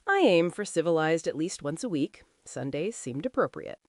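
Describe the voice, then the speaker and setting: A woman's voice: low-pitched, very animated, normal speed, a little mellow, no room sound, studio quality. A woman voicing a reserved, dryly witty young cowboy in a Western audio drama, understated in manner. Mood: dryly amused.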